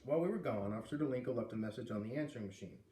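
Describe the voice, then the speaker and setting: A man voicing a calm, middle-aged father in a read-aloud story, measured and inquiring. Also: mid-range voice, natural voice. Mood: reassuring. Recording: roomy, distant mic with light noise.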